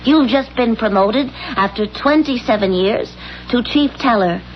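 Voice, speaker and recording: An actress playing a bossy, coaxing middle-aged wife, insistent and scheming, in a 1970s radio drama. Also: female voice, fairly high pitch, unhurried, a little hiss or hum, lightly acted. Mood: coaxing, pushy. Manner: insistent, pushy. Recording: muffled old radio broadcast, faint music.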